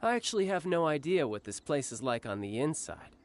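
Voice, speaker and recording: Brief: a cocky, easygoing young warrior, teasing and smooth, with a lively, lilting read. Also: male voice, a little high, a little fast, a little hiss or hum. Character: cocky young male warrior, doting older brother, playful. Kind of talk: game cutscene voice acting.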